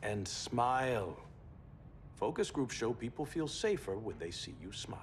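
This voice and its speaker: An actor playing a frank, earnest adviser who persuades with a gently teasing touch in a game cutscene. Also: male voice, sing-song, a little slow. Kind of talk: video game cutscene acting. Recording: background rumble and noise.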